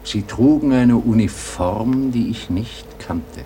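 An actor playing a weary, wistful old grandfather in a radio drama, with a husky voice and a brooding, halting delivery. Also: mid-range voice, warm tone, slight background noise, lightly acted.

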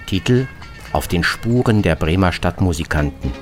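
A deep-voiced man narrates a scripted radio travelogue in a literary register. His intonation moves in wide, lively arcs, and music plays clearly behind him. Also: normal speed. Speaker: narrator and reporter.

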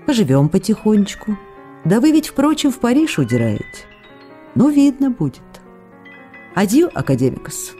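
A woman's voice: medium pitch, sing-song, moderate pace, natural voice. A woman playing an affectionate young actress writing letters. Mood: tender. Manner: playful, affectionate, wistful. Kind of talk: radio play letter reading. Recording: studio radio play with soft music.

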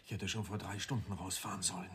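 A man's voice: low-pitched, fairly even intonation, quick pace, fairly bright tone, a little hiss or hum. An actor playing an anxious, loyal husband worried about his friend, urgent and agitated, in a German radio drama. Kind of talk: 1980s German radio play acting.

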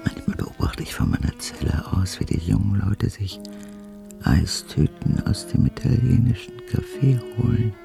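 An actress playing a brooding old woman's whispered inner voice in a radio drama, raspy and very low. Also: big pitch swings, moderate pace, over music, full character voice. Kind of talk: radio drama interior monologue.

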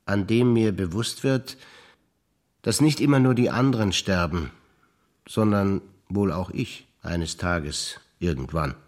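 An older man performing scripted stage dialogue, measured and reflective, often sinking to hushed low phrases. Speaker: stage actor.

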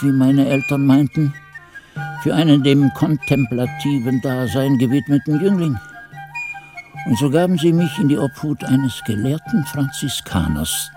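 An actor playing a pious, frail old Benedictine monk who narrates a radio drama in solemn, archaic, formal phrases. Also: male voice, medium pitch, mellow. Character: pious aged Benedictine monk, frail chronicler of his youth.

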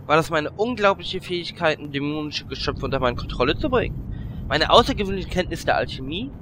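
A man's voice: fairly high pitch, noisy background. A man voicing a vain, boastful gnome warlock with a pompous, theatrical delivery in a German audio drama parody. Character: vain, self-important male gnome warlock, boastful womanizer.

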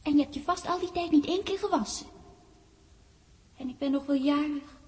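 A little girl of about nine, acted by a woman, speaks in a high, soft-toned voice. She fusses and chides in a childlike, possessive way, in the manner of 1960s radio drama. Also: a little fast, natural voice. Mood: chiding. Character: young girl of about nine, chiding and fussing.

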